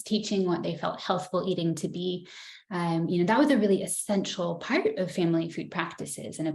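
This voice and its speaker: A woman with a fairly low voice presents her research in a webinar, reading from a script. She tells it in a measured, story-like way. Her voice carries a noticeable room echo. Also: a little mellow. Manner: measured, storytelling delivery with occasional light laughs. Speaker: researcher giving a webinar talk.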